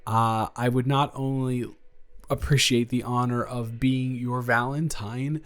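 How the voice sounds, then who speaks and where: A man playing a nervous, earnest male best friend confessing a crush in a halting, rambling, improvised audio roleplay. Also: mid-range voice, steady tone, a bit bright, natural voice. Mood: earnest, shy. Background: music.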